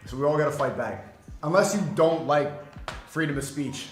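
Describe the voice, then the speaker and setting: A man's voice: fairly high pitch, lively intonation, moderate pace. A man hosting a livestream, talking in a playful way and egging on his guest. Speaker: livestream host. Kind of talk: casual livestream chat. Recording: echoey room.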